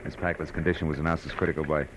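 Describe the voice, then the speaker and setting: An actor playing a wry, dogged private detective in a 1940s radio drama, with clipped, world-weary noir delivery. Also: male voice, rumbling low, flat delivery, racing, background noise. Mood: urgent, intent. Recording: vintage radio recording with loud music.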